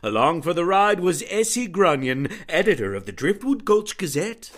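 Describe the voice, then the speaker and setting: A man's voice: high voice, big pitch swings, moderate pace, no echo, clean studio sound. A man narrating like a bombastic old-time radio western announcer, theatrical and dramatic.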